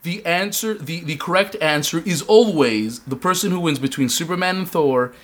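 A man with a slightly high voice giving wry answers on a comedy podcast. He stammers and restarts before landing his point. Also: normal speed. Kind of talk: comedy banter.

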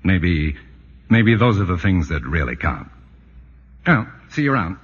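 An actor playing a wry, plain-spoken family friend in a 1940s radio drama, with a husky voice. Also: male voice, low voice, very animated, a little slow, full character voice. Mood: reflective. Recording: reverberant, dull old radio broadcast.

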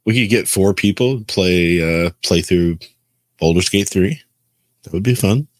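A man's voice: low voice, measured pace, no echo. A man co-hosting a podcast, chatting casually and chipping in with teasing remarks. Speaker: podcast co-host. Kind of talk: casual group banter.

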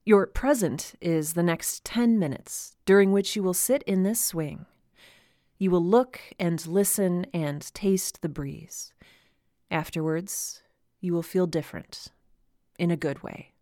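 A woman playing a wise, quirky teacher reading out her letter in audio fiction, deliberate and gently instructive. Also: fairly low pitch, no room sound, studio quality. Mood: tender, wry.

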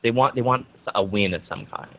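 A man with a whiny, nasal voice, a podcast guest who explains in a lively way with everyday examples. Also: on the low side, brisk, gravelly, dry recording. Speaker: podcast guest, social media agency owner. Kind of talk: casual podcast chat. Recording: slightly dull lo-fi audio.

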